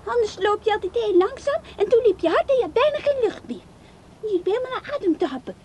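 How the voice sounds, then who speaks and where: Childlike, jealous little girl, extremely high voice, radio drama, faint noise. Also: sing-song, fast talker, darkish tone. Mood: accusing. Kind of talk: radio play acting.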